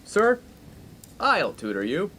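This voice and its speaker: A man's voice: high voice, huge pitch swings, takes it slowly, bright, forceful tone, slight background noise, full character voice. An actor playing a pompous, skirt-chasing young college man in a 1950s comedic radio play, given to grandiose, wordy speeches. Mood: obsequious.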